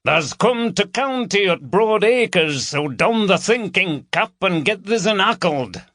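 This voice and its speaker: A man's voice: sits high, animated, a little slow, fairly bright tone, no room sound, clean studio sound, pitched above the performer's own voice. A man voicing a jovial, teasing middle-aged Yorkshire police chief with a broad, bantering manner. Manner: hearty, bantering, broad dialect. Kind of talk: audiobook character voice.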